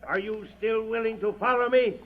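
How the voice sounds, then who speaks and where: Actor as high-pitched emperor rallying knights. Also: male voice, fairly even intonation, normal speed, phone-quality sound, close to an everyday voice. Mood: solemn, challenging. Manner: declamatory, exhorting at high pitch. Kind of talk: 1930s-40s radio drama acting. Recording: noisy old radio recording, crowd behind.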